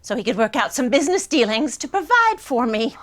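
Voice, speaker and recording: An actress on a theater stage playing a bitter, wronged Victorian widow with a pointed, dramatic delivery. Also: female voice, high-pitched, big pitch swings, a little fast. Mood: bitter, incredulous. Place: theater stage before an audience.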